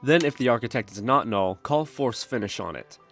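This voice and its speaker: A man's voice: medium pitch, expressive, fairly quick, faint music behind. A man hosting a programming tutorial, narrating step-by-step instructions in a screencast style.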